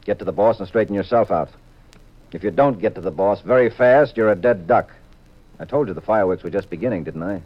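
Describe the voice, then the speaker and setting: An actor playing a cool, shrewd private detective, brisk and assured, in a hard-boiled 1950s radio drama style. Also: male voice, fairly low pitch, lightly acted. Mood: firm, bluffing. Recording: muffled, hissy 1950s radio recording.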